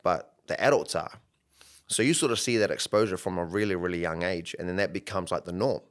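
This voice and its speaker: A man's voice: sits low, fairly quick. A young adult man, co-hosting a podcast in a reflective, easygoing way. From New Zealand. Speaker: podcast co-host, coach. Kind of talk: casual podcast chat.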